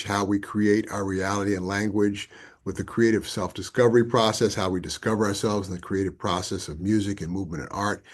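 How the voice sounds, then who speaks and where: A middle-aged man co-hosting a workshop, his voice slightly low. He speaks earnestly and loosely, searching for words as he explains. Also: darkish tone. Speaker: tantra workshop co-host. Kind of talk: casual workshop talk.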